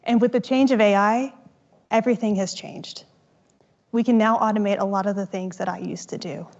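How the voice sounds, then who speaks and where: A woman co-founder giving an upbeat, emphatic startup pitch on stage. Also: fairly even intonation, moderate pace, darkish tone, dull audio.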